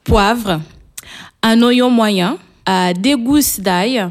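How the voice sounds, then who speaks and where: Hesitant woman with a Portuguese accent. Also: a little high. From São Tomé and Príncipe, raised in Portugal. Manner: hesitant, frequent pauses with fillers. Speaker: guest cook. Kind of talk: radio interview.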